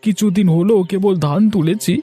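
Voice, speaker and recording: Plaintive, bright-voiced village farmer played by a man. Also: high-pitched, brisk, husky, soft background music. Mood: lamenting, anxious. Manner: plaintive, lamenting. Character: poor, worried village fisherman and farmer husband. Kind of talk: cartoon story dialogue.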